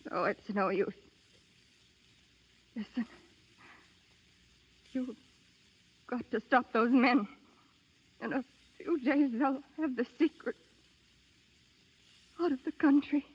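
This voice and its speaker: A woman's voice: high-pitched, slow pace, pauses often, husky, exaggerated voice. An actress playing a frightened, secretive young woman spy in a 1930s radio drama, speaking with dramatic urgency. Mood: dying, gasping. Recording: dull lo-fi 1930s radio broadcast.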